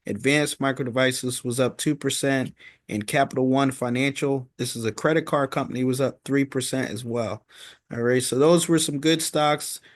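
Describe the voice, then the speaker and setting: A man hosting an informal stock market recap, talking in a halting, repetitive way and often checking in with "okay?". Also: medium pitch, steady tone, no echo.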